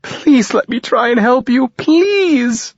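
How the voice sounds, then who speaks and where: A desperate, tearful middle-aged mother, as a male audiobook reader puts her on, begs in a high, choked voice that climbs and swoops. The sound is dry and dull, like lo-fi audio. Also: animated, slow, no room sound, exaggerated voice. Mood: tearful pleading. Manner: pleading, insistent. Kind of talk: audiobook character voice.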